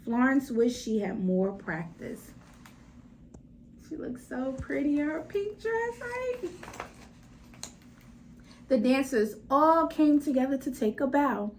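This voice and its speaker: A woman's voice: sits high, very animated, slow pace, pauses often, slight background noise, low-quality recording. A woman reading a children's story aloud expressively, with dramatic emphasis and direct asides to her listeners.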